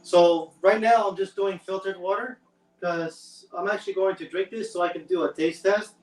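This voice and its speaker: A man explaining a home test step by step in a relaxed way while co-hosting a live stream. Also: high voice, moderate pace, distant mic. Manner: laid-back, explains step by step. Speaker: co-host demonstrating a test. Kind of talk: casual explanatory demo. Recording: roomy sound from away from the mic.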